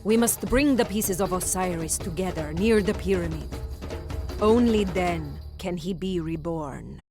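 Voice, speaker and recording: An actress playing a calm, solemn woman guiding an ancient ritual in a video game, low and measured. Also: music in the background. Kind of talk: video game cutscene acting.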